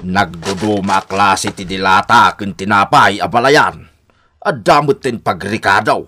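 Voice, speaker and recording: An older in-law on a visit in a radio comedy drama. His voice is on the low side, and he explains himself politely. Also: a little slow, pushed and bright. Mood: sociable.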